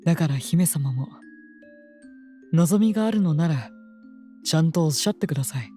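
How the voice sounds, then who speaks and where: A woman playing a composed, devoted royal attendant in an audio drama, speaking politely and formally with gentle admonishment. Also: low voice, expressive, soft background music. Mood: tender, earnest. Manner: polite, measured, formal speech. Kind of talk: scripted audio drama acting.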